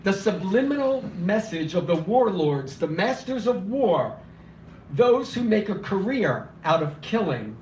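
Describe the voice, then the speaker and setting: A man reading his spoken word poetry at a cafe open mic, impassioned and declamatory, his voice slightly hoarse and weak. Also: high voice, very animated, a little slow, darkish tone, slight background noise. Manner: impassioned, declamatory, rhythmic repetition. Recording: echoey room, voice from far off.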